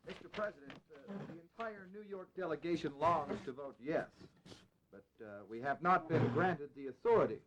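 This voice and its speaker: An actor playing an apologetic, hesitant colonial delegate in an audio history drama, speaking in a halting, deferential way on a slightly dull recording. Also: male voice, on the high side, lively intonation, a bit bright. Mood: apologetic, earnest.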